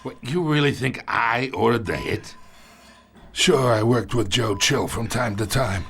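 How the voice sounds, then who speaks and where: Old injured gangster boss, slow, gravelly, sly, menacingly familiar, video game drama. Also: male voice, medium pitch, fairly bright tone. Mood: grave, taunting. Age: older. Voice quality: gravelly, pained. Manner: slow, drawling, streetwise gangster talk.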